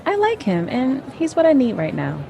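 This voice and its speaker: A woman's voice: sits high, wildly swooping pitch, moderate pace, vocal fry, dark tone, some chatter behind, exaggerated voice. A woman acting in an audio drama as a brash, restless, unapologetic wife with a sassy, casual manner. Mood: restless, defiant. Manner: sassy, casual girlfriend banter. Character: brash, restless married woman, unapologetic about her affair.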